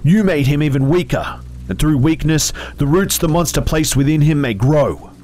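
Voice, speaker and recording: A man voicing a faceless, vengeful static phantom with a buzzing, hissing voice and a slow, solemn, menacing delivery. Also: a little high, darkish tone, voice pushed up, close to an everyday voice.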